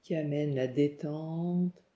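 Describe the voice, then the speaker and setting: Slow, very mellow woman guiding meditation. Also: low voice. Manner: calm, soothing, instructing in short phrases with pauses. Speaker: yoga nidra meditation guide. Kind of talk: guided relaxation meditation. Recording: muffled, echoey room sound.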